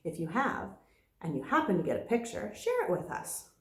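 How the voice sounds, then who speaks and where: A woman teacher reading a picture book aloud to young children in an expressive, deliberate way. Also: on the low side. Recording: roomy, echoing sound.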